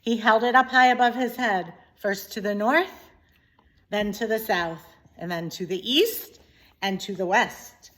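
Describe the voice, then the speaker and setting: Slow, expressive woman reading a storybook. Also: mid-range voice. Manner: deliberate, dramatic emphasis. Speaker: story reader, teacher. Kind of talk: expressive children's storybook narration.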